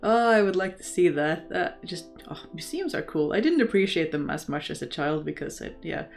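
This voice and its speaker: A low-voiced woman chats casually to her livestream viewers. She rambles thoughtfully and with real enthusiasm, while soft guitar music plays behind her. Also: animated, normal speed, vocal fry. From Norway. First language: Norwegian. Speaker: crafting livestream host. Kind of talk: casual chat, reading and answering live comments.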